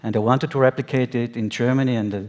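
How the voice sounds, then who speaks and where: A man with a medium-pitched voice speaks on a business panel. He introduces himself informally, as a company partner would. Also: steady tone, fast, a little mellow.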